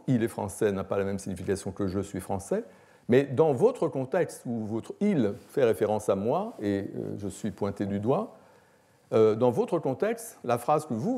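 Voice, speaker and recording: Male academic lecturer, 60s. Relaxed dark timbre, measured explanatory delivery with hesitations. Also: mid-range voice. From France. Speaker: philosophy professor. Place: lecture hall, at a lectern.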